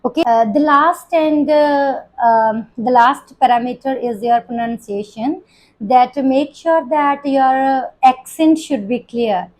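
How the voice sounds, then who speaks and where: A young woman teacher with an Indian English accent carrying a Punjabi touch talks students through exam tips. Her voice sits high. She pauses on frequent fillers and breaks off mid-word to restart. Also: darkish tone, low-quality recording. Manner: hesitant, many fillers and restarts. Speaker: IELTS coaching teacher. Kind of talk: spoken lesson to students.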